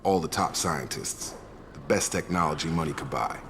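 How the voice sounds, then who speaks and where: A man playing a steady, plain-spoken soldier and security chief in a video game. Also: extremely low pitch, moderate pace. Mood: steady, frank. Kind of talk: video game character acting. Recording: noisy battle ambience behind.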